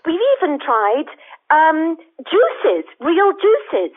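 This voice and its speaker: A woman's voice: sits high, sing-song, drawn-out words, heavily acted. An actress playing an earnest, polite, plaintive churchgoing woman calling a radio phone-in over a telephone line. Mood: earnest, regretful. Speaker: phone-in caller. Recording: tinny narrowband phone line.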